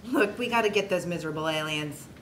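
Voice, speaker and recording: An actress plays a brash, sarcastic jilted fiancée in a sci-fi spoof. Her voice sits somewhat low. It is picked up from a distance over clear noise. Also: quick pace, lightly acted. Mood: indignant. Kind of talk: comedy script table read.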